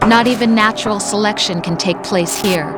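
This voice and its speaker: A woman's voice: mid-range voice, moderate pace, over loud music, lightly acted. A woman voicing a cool, taunting artificial intelligence with a young woman's persona in a video game, composed and pointed as she lectures. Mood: detached, lecturing. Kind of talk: dramatic video game voice acting.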